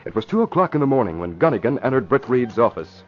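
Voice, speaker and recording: Animated male old-time radio announcer, muffled broadcast. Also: medium pitch, fast talker. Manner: emphatic, polished announcer delivery. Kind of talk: 1940s radio scripted narration and advertising.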